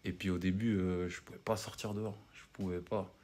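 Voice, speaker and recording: Very low-voiced man, chatty hockey player. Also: a little fast. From Cameroon. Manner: relaxed, chatty, peppers talk with "tu vois". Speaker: ice hockey player, guest. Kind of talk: casual interview chat.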